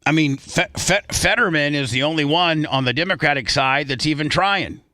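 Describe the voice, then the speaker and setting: Bright, forceful male talk host. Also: fairly high pitch, normal speed, dry recording. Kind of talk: casual radio talk.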